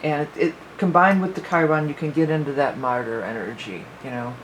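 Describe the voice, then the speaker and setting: A woman's voice: sits low, a little fast, slight background noise, rough recording. A woman giving a casual spoken astrology forecast as a psychic reader, rambling and thinking aloud with many fillers, her voice a little worn.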